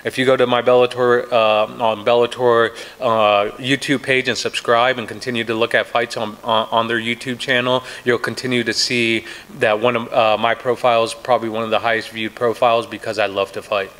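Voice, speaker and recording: A man's voice: mid-range voice, little pitch movement, fairly quick, few pauses, a bit bright, low-quality recording. A man, a pro fighter, answering reporters at a press day, confident and full of restarts and "you know"s. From United States. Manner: confident, trash-talking, many restarts and fillers.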